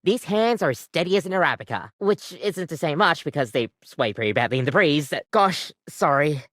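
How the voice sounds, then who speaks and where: A woman's voice: low voice, expressive, moderate pace, fairly bright tone, dry recording, clean studio sound. A woman voicing an overworked, apologetic barista in a video game, chatty, rambling and self-deprecating, with a weary devotion to the job. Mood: rambling, resigned. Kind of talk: video game character acting, conversational.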